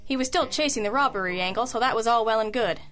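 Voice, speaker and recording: A woman playing a sharp, wry private detective in an old-school radio drama, crisp and matter-of-fact, with compassion underneath. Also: on the high side, fast, soft background music, natural voice.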